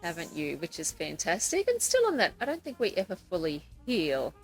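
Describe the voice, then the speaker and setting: A woman's voice: on the low side, huge pitch swings, a little fast, faint music behind. A woman hosting a podcast, interviewing guests in a conversational way. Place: couch in a room in Nakasi.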